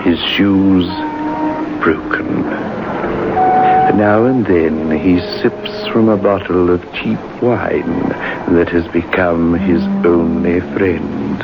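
A sardonic horror storyteller with a deep, gravelly voice. He draws out his macabre narration like classic radio theatre. The old recording is muffled. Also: steady tone, very noisy, exaggerated voice. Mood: grim. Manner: slow, drawn-out, macabre delivery. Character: sinister, sardonic male horror host storyteller.

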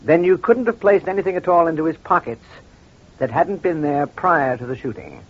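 An actor playing a calm, courteous, probing crime doctor in an old radio drama. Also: male voice, on the high side, breathy edge. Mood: coolly probing. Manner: measured, soothing, courteous. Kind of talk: 1940s-50s radio crime drama acting. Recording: dull old-time radio recording.

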